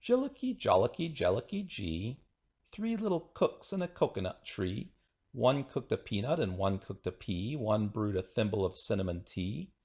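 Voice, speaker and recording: A man's voice: on the low side, big pitch swings, moderate pace, a little mellow. A man reading children's rhyming poems aloud in a playful, singsong, rhythmic way. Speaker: children's book reader.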